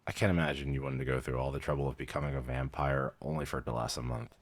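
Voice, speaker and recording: A man's voice: rumbling low, fairly even intonation, rapid-fire. A man playing a weary, friendly young vampire mentor in a roleplay audio, dryly professional yet casual and a little hesitant. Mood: dry, helpful. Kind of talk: roleplay audio, conversational acting.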